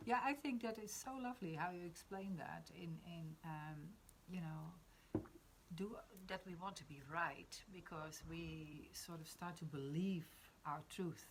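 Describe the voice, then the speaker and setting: A woman in her fifties with a Dutch accent, reflecting in a spiritual conversation, often pausing to search for words. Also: fairly low pitch, background noise. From Netherlands. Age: 50s. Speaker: discussion participant.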